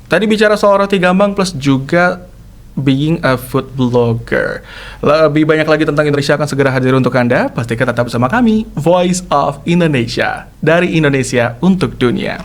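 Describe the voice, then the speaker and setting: A man's voice: on the high side, expressive. Chatty male radio host. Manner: jokey, chatty, prompts guests with quick questions. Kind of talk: casual radio interview. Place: radio studio.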